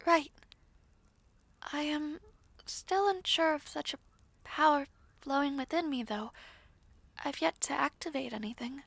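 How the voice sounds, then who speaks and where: A woman voicing a gentle, reserved young princess in a fantasy audio roleplay, speaking politely and formally with some hesitation. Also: high-pitched, a little slow, vocal fry, pauses often, no room sound, full character voice. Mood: reserved, reflective.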